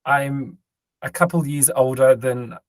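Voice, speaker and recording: Hoarse man, worn-out voice. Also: medium pitch, measured pace, no room sound. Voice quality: tired, raspy. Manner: rambling, joking, lots of ums and restarts. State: hoarse, lost voice. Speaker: podcast co-host. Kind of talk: casual chat.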